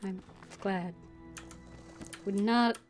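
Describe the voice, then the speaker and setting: A woman's voice: medium pitch, steady tone, extremely slow. A woman streaming a game, reading dialogue lines aloud and adding casual commentary. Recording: game music and keyboard typing behind.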